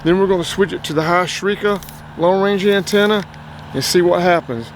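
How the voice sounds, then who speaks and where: A man with a North Carolina accent explains a radio test outdoors in a relaxed, chatty way. His voice sits a little high, and a faint hiss of outdoor sound runs under it. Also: moderate pace, low-quality recording. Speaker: outdoors channel host. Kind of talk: casual gear-test vlog. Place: outdoors by a car.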